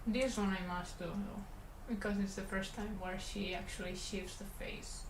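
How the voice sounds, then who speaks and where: Catalan-accented woman, middle pitch, relaxed livestream chatter while working, roomy sound. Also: moderate pace. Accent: Spanish Catalan. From Catalonia, Spain. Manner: relaxed, rambling, talks to chat while working. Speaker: animator streaming her work. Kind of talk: casual livestream chat while drawing. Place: animation studio room. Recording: roomy, faint background hum.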